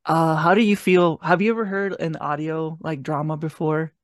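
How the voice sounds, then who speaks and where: A man's voice: on the high side, fairly even intonation, moderate pace, no echo, clean studio sound. A man co-hosting a podcast, talking in a loose, casual, conversational way. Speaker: podcast co-host reviewing an audio drama. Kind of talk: casual chat.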